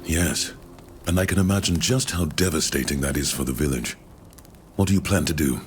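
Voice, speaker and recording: A man playing a courteous, learned foreign scholar in a video game, speaking in measured, formal, precise phrases. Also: extremely low pitch, a little hiss or hum. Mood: polite, sympathetic. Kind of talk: video game dialogue acting.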